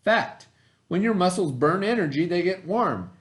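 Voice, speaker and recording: Male teacher, middle-aged, higher-pitched voice, very varied intonation, reading aloud and explaining for children. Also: animated. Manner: instructional, pauses to define and repeat terms. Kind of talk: read-aloud lesson with explanatory asides.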